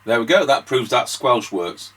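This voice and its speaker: A man's voice: medium pitch, expressive, normal speed, noisy background. A man giving casual demonstration commentary as a radio repair host and operator.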